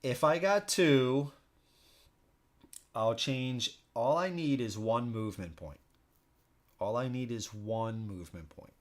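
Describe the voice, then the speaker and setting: Casual man thinking out loud while gaming. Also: medium pitch, slow pace, pauses often. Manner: informal, thinking out loud, repeats himself. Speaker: streamer hosting a solo board game playthrough. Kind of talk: casual live stream commentary while playing.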